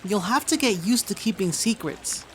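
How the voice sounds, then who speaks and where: A woman's voice: medium pitch, animated, moderate pace, slight background noise, close to an everyday voice. A woman playing an earnest young heroine in an audio drama, patiently explaining a secret to a friend.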